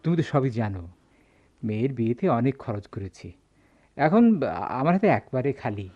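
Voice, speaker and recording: Man playing animated, patronising merchant boss, dull recording. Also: mid-range voice, moderate pace, natural voice. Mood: evasive. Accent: Bangladeshi. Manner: patronising, expressive. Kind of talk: Bengali radio drama acting. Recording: slightly dull radio recording.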